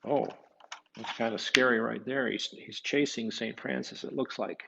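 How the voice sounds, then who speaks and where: A man reading a children's picture book aloud as a priest, with musing, halting asides to his young listeners. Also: medium pitch, fairly even intonation, gravelly, highs cut off, heavy background noise. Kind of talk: storybook read-aloud with casual asides to children.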